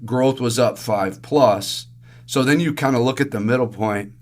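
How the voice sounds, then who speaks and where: Raspy-voiced man explaining markets. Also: mid-range voice, normal speed. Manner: chatty, explains with everyday analogies. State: hoarse from allergies. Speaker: investment advisor co-host. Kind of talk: casual podcast market commentary.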